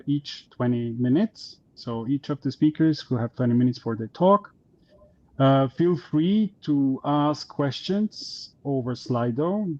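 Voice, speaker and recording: A man moderating an online meetup, introducing speakers in a halting way with many fillers. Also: medium pitch, dark tone, lo-fi sound.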